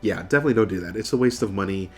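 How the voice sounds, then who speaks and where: A man's voice: sits low, very fast, a little mellow, soft background music. An American man in his thirties, nasal from getting over a cold, casually explaining things to camera as a video host. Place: furnished room indoors.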